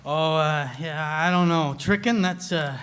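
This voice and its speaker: A voice actor playing a slow-witted, good-natured adult man, halting and full of uh fillers. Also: fairly high pitch, fairly bright tone, slight background noise. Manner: halting, with many uh fillers and chuckles. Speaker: voice actor at a table read.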